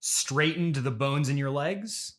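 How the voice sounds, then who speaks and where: A man hosting a comedy interview, hesitant and politely puzzled, keeping a deadpan tone. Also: a little high, slow. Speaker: podcast host, interviewer.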